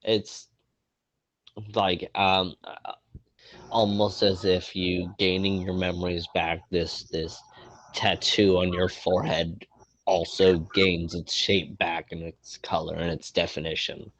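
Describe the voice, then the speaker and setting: A low-voiced man runs a tabletop game, his narration unhurried and broken by many ums and restarts. He sounds congested, and the recording is dry with little room sound. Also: little pitch movement, fairly bright tone, dry recording, poor audio. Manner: halting, filler-heavy narration. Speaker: game master.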